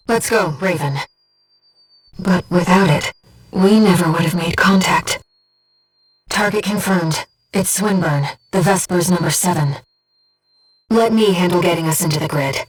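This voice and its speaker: A woman voicing an earnest, gentle guide who speaks inside a pilot's head in a mecha video game, in measured scripted delivery. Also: on the low side, slightly rough, far from the mic, low-quality recording. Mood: calm, focused. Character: soft-spoken, earnest female voice in a pilot's head, loyal guide. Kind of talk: scripted video game voice acting, tactical callouts.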